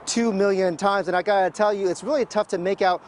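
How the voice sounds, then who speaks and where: A man's voice: high voice, steady tone, a little fast. A man reporting live for TV news from outdoors at an airport. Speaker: field reporter. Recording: live outdoor shot, faint ambient noise.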